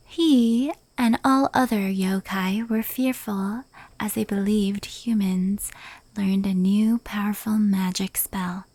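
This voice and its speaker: A woman voicing a proud, powerful fox yokai in a hushed, intimate ASMR roleplay, soft and breathy. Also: mid-range voice, no room sound. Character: proud, powerful female fox yokai, fond confidante of a human.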